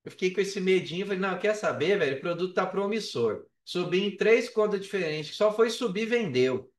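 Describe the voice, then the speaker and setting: High-voiced Brazilian man, echoey room. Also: animated, fairly quick, far from the mic. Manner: colloquial, slangy, re-enacts dialogue. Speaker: podcast guest, affiliate marketer. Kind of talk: animated casual storytelling. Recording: roomy, echoey, off the mic.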